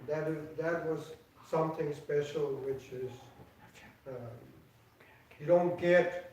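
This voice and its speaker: Older man, slow halting delivery, repeats words when restarting, echoey hall, distant mic. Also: fairly high pitch, fairly even intonation, a little mellow, slight background noise. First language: Danish. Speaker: devotee giving an offering speech. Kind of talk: personal tribute speech. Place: temple hall.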